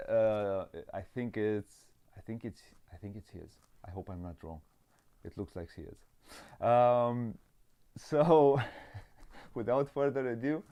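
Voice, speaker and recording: A man hosting a board game interview show, cheerful and hesitant, pausing often on fillers. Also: on the low side, darkish tone, clear, resonant tone. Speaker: podcast host. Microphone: video call.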